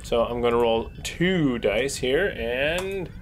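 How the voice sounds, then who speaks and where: A man's voice: mid-range voice, slow, slight background noise. A man chatting casually as a player in a tabletop game. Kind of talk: casual chat.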